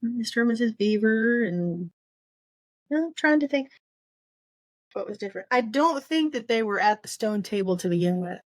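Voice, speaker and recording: A woman's voice: fairly high pitch, expressive, normal speed, no echo. A woman chatting casually about books as a co-host, rambling a little and thinking aloud as she goes. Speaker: book podcast co-host. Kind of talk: casual book discussion chat.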